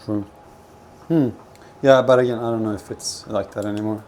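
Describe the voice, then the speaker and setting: A man's voice: fairly low pitch, fairly even intonation. Rambling, sweary man over kitchen noise. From Sweden. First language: not Swedish; grew up with several languages. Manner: rambling, sweary, halting with repeats. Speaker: livestreamer chatting with viewers. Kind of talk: casual livestream chat, personal storytelling. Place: home kitchen while cooking. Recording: clear kitchen noise, frying food. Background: frying food, kitchen ambience.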